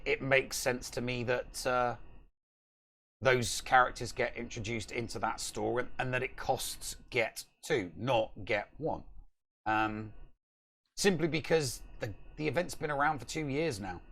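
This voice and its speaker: A man with a gravelly, creaky voice at a medium pitch. He chats casually about games as a podcast co-host, leaning hard on key words and repeating phrases for stress. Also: unhurried, fairly bright tone. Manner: emphatic, repeats himself for stress. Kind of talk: casual gaming chat on a live stream. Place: indoor room at home, on a video call.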